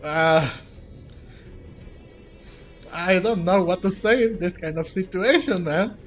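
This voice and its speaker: A man's voice: sits high, slow, faint music behind. A man giving casual playthrough commentary as a gaming streamer, reacting aloud in an informal way.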